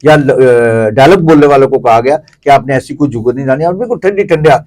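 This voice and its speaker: A man's voice: medium pitch, a little mellow. A man with a Pakistani accent explaining things conversationally as an interview guest, piling up examples and repetitions.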